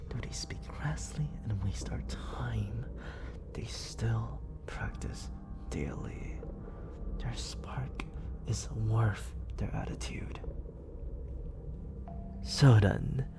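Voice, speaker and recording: A man voicing a smooth, manipulative villain in an ASMR roleplay. He speaks close to the mic in a slow, condescending tone that often sinks into whispers. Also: on the low side, loud music behind the voice. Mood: smug, coaxing. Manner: slow, purring delivery that often drops into whispers; condescending and coaxing. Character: smooth, manipulative male villain, soft-spoken and controlling. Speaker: voice actor. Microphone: close studio condenser mic.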